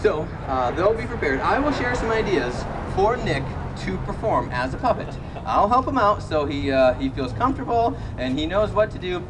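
Male puppeteer host, casual reassuring patter, recorded at a distance outdoors amid loud street noise. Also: sits high, very animated, moderate pace. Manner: encouraging, reassuring. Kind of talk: casual live show patter. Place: outdoor sidewalk show, amplified, street ambience.

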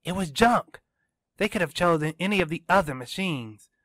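A man's voice: on the high side, animated, normal speed, a bit bright, no echo, voice pushed up. A man voicing an earnest, eager teenage boy in a story, insistent and sure of himself. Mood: earnest, certain.

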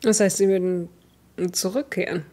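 A woman's voice: mid-range voice, steady tone, normal speed, mellow, lightly acted. A woman playing a stern, probing female military commander in a tabletop game, speaking formally and curtly. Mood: suspicious.

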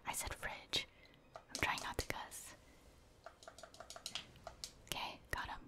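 A woman whispers softly and breathily as she plays a video game for ASMR. She keeps up a casual, murmured play-by-play in short remarks, never using full voice. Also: takes it slowly.

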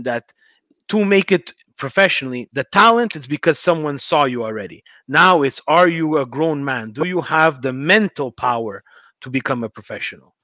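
Bright-voiced rambling podcast host. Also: male voice, on the high side, dry recording. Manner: rambling, emphatic, many stutters. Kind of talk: casual video-call interview. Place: home room indoors. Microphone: headset.

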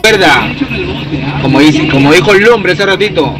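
A man's voice: high-pitched, lively intonation, normal speed. A man leaving a casual voice message for a radio show. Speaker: listener sending a voice message. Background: loud music.

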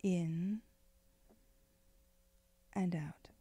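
A woman leading a guided meditation in a gentle, unhurried, soothing way, with phrases often trailing off into breath. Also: on the low side, fairly even intonation, breathy edge. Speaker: meditation guide, spiritual director.

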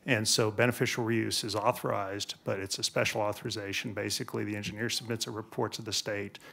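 A man's voice: lowish voice, level tone, fast, vocal fry, fairly bright tone. A man answering residents' questions on wastewater permits in a public meeting, firm and technical, correcting misunderstandings. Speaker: engineer presenting at public forum. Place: large courtroom with audience.

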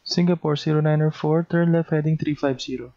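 Filipino guy doing atc sim demo, soft mellow tone w some fry, muffled lofi audio. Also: a little high, fairly even intonation, brisk. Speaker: air traffic control trainee demonstrating a simulator. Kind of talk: clipped air traffic control phraseology with tutorial asides.

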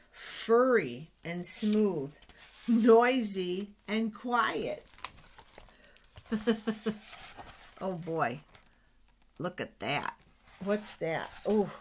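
An older woman reading a picture book aloud to young children, exclaiming and pointing things out with drawn-out words. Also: mid-range voice. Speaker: storytime reader for children. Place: small office, indoors. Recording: noisy webcam recording with clicks.

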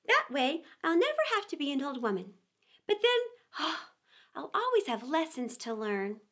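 A woman's voice: sits high, huge pitch swings, normal speed, fairly bright tone, heavily acted. A woman voicing a curious young girl who muses and argues with herself in a storybook reading. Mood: musing. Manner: musing, self-questioning.

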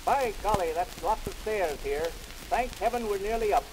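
An actor playing a hearty, easily amazed friend, exclaiming in a folksy way in 1920s comedy record style. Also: male voice, high-pitched, expressive, moderate pace. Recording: hissy, noisy 1920s 78 rpm record.

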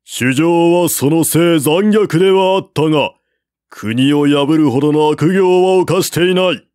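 Guy doing a proud old-time war general, raised higher and louder, slow and dry. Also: no room sound, natural voice. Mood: defiant. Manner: haughty, declaiming challenges. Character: defiant male general of an ancient army. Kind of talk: audiobook reading of a classical war novel.

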